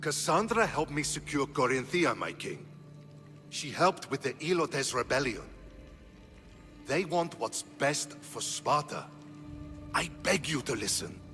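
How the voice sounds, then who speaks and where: Measured, diplomatic Spartan general, lively inflection, video game cutscene over clear music. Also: male voice, mid-range voice, heavily acted. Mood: respectful, earnest. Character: loyal, measured male Spartan general advising a heroine. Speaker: game voice actor. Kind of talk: dramatic video game acting.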